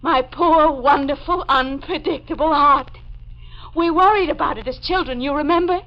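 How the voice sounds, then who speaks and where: A woman's voice: high voice, moderate pace. An actress playing a fragile, despairing young woman who yearns for escape, in theatrical 1940s radio melodrama. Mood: fatalistic. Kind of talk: 1940s radio horror acting. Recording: dull vintage radio recording with faint music.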